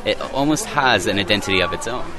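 Halting male interviewer amid outdoor crowd. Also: on the low side, lively intonation, rough recording. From Ireland. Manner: halting, many "uh"s and repeated words. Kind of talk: interview questions.

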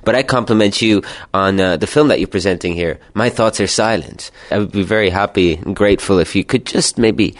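A man with a fairly low voice hosts a radio interview. He talks conversationally, phrasing his questions politely and at some length. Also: a little fast, few pauses. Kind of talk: conversational film festival interview.

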